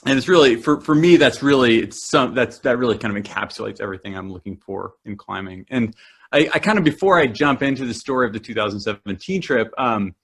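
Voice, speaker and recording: A man's voice: mid-range voice, lively intonation, fast, a bit bright, slightly rough. A man from New Zealand presenting a climbing talk, enthusiastic and full of fillers and restarts. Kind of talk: presentation to a club audience.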